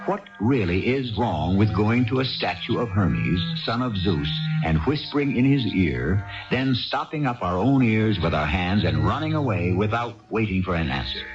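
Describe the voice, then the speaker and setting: A grave storyteller host played by a man with a low voice and measured, rhetorical delivery. He narrates a 1970s radio mystery over music, in a muffled old broadcast sound. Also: lively intonation, lightly acted. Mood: solemn, musing.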